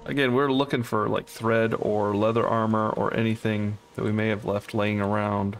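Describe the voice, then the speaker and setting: Gravelly-voiced man hosting a game playthrough. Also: on the low side, little pitch movement, normal speed, slight background noise. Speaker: let's play host. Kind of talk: casual co-op gameplay chat.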